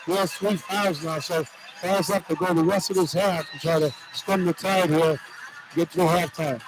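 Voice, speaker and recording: A man's voice: a little high, fairly even intonation, moderate pace, music in the background, poor audio. A man giving live basketball analysis as a color commentator, talking in a conversational, explanatory way. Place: indoor basketball arena.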